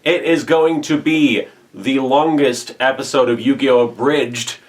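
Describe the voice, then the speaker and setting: A man's voice: medium pitch, a little slow. A man co-hosting a casual update for fans of his web videos, talking informally as a creator.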